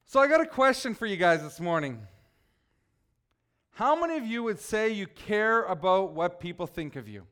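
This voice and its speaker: A man preaching a relaxed, conversational sermon, joking with his congregation and teasing people by name. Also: high voice, moderate pace, clear, resonant tone. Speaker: pastor.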